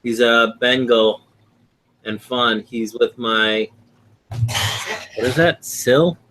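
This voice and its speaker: A man's voice: medium pitch, steady tone, drawn-out words, bright, forceful tone, slight background noise, poor audio. A man hosting a casual late-night live stream, reading out viewer comments with lots of fillers and asides. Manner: rambling, reads comments aloud with fillers. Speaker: livestream host reading viewer chat. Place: home in Florida.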